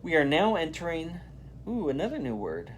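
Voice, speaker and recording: A man gives an animated read-aloud of a children's storybook. His pitch moves freely and he leans on key words with expressive emphasis. There is faint noise behind his voice. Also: moderate pace. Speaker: volunteer read-aloud reader.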